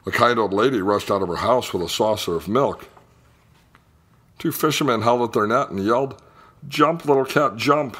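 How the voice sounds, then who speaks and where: A man reading a children's picture book aloud in an expressive storytelling style. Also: medium pitch. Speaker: storybook reader.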